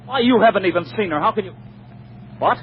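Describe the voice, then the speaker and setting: Actor as lighter-voiced, impulsive 1940s radio playboy. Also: male voice, high-pitched, fast talker, close to an everyday voice. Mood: defiant, exasperated. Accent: American. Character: impulsive, lovestruck rich playboy, boyish and defiant. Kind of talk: 1940s radio comedy acting. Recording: muffled, noisy 1940s radio recording.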